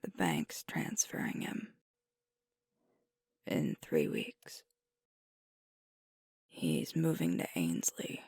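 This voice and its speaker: A woman playing a sweet, weary werewolf girlfriend in an ASMR roleplay, confiding softly and haltingly in a breathy voice. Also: a bass voice, very animated, no echo, studio quality, full character voice. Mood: anxious, confiding. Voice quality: soft, breathy, whispery. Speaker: ASMR voice actor. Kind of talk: ASMR roleplay, intimate one-sided conversation.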